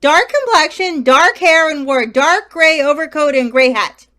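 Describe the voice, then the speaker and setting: Woman playing a very high, bright, earnest police inspector. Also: expressive, moderate pace. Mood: earnest, determined. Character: earnest police inspector and pleading robbery victim. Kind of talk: old-time radio crime drama acting.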